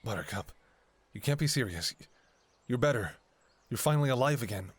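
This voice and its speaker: Man acting a devoted, anxious lover, huskily expressive. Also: mid-range voice, breathy edge. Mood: tender, hopeful. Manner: hesitant, halting, emotionally raw. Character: devoted, anxious male doctor pleading with lover. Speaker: audio roleplay performer. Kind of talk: ASMR audio roleplay, intimate dramatic monologue.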